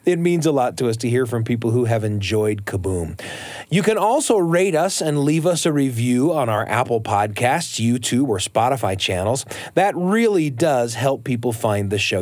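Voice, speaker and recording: Expressive male podcast host, close dry sound. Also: medium pitch, dry recording. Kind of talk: conversational scripted hosting.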